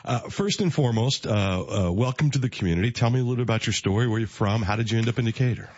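A man's voice: mid-range voice, racing, no room sound, dull audio. A man hosting a radio talk show, chatting casually with a guest and rambling through questions with many restarts. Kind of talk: casual radio interview chat. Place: radio studio.

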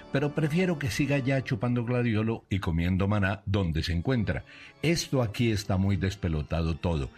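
A man's voice: fairly low pitch, darkish tone. A Colombian radio commentator, a man, reading a scripted satirical monologue in letter form. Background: music.

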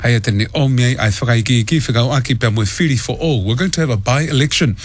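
A man's voice: medium pitch, fast talker. Laid-back male radio host who rambles through the show rundown. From Tonga. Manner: relaxed, many fillers, mixes Tongan and English.

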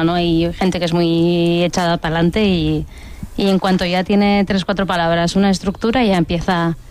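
A woman language teacher, speaking thoughtfully with hesitant pauses on a panel. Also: on the low side, a little mellow, smooth. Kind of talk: panel discussion.

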